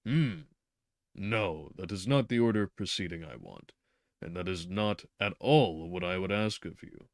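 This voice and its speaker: A man's voice: low-pitched, moderate pace, no room sound, studio quality. A man voicing a stern middle-aged doctor who lectures insistently and stresses his words. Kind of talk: reading a novel aloud.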